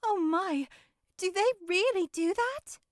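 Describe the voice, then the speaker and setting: A woman's voice: extremely high pitch, animated, slow, no echo, clean studio sound, voice pushed up, exaggerated voice. A woman voicing a gullible, superstitious village woman in a thin put-on voice for a horror roleplay audio drama. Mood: scandalized.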